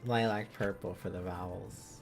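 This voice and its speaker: A man's voice: low voice, steady tone, normal speed, gravelly, over music. A man livestreaming in a casual, playful way, thinking aloud as he chats to viewers. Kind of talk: casual livestream study chat.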